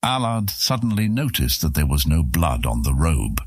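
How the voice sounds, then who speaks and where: A man narrating a scripted fantasy audiobook in a measured, dramatic manner. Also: rumbling low, very animated, breathy edge, dry recording, clean studio sound.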